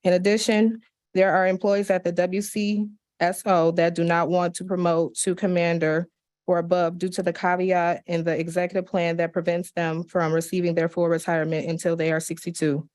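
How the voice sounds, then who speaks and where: Woman reading letters in a flat voice. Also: on the low side. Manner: steady, measured reading with small slips and restarts. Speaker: meeting staff reading public comments. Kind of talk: reading written letters aloud into the record. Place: county commission meeting room.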